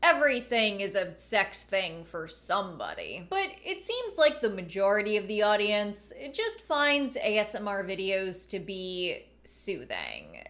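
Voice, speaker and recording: A woman giving conversational video commentary in a wry, deadpan manner. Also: mid-range voice. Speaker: video essayist and host.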